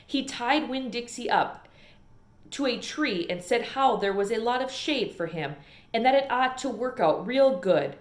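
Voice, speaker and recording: A woman narrates a children's novel as a read-aloud. Her voice sits a little high and her storytelling is expressive. The room gives a noticeable echo. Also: a little slow. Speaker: storybook reader. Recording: echoey room.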